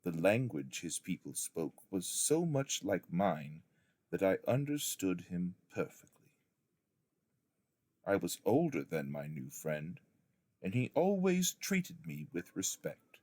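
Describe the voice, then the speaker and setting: A man reading a storybook memoir aloud as a narrator, in a measured, deliberate storytelling manner. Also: sits low, very animated, a little mellow, no room sound. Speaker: audiobook reader, schoolteacher reading aloud.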